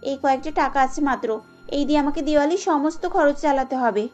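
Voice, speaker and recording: A woman voicing a humble, gentle young daughter-in-law in a cartoon story, polite and reassuring. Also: high voice, fairly even intonation, fast, soft background music, lightly acted. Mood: modest, hesitant.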